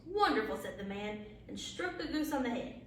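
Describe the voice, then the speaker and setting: A woman storyteller reading a bedtime story aloud in an expressive way, with the odd small stumble. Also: mid-range voice, normal speed. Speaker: library storyteller. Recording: very echoey, distant, faint background noise.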